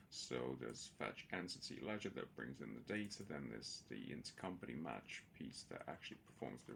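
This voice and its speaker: Guy w a deep creaky voice doing a screen share tutorial, kinda halting, lots of ums, bit of background hiss. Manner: hesitant, halting explanation with many filled pauses. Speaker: presenter of a software demo.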